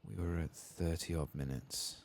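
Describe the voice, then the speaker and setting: Very deep, crackly soft-spoken man. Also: fairly even intonation, gravelly. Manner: murmured, unhurried musing to himself. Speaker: streamer playing a fantasy role-playing game. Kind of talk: ASMR game commentary, soft-spoken and whispering. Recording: faint background noise.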